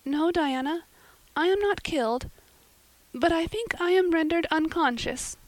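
Woman reader doing an imaginative, solemn girl; raised pitch, dry close sound. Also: unhurried, dry recording, low-quality recording. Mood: plaintive. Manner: high-flown, earnest phrasing. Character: dramatic imaginative young girl, solemn and earnest.

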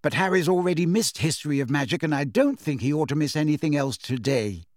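A man's voice: on the high side, expressive, quick pace, dry recording, studio quality, lightly acted. A man voicing a prim teenage schoolgirl with a severe, lofty manner, reading a storybook.

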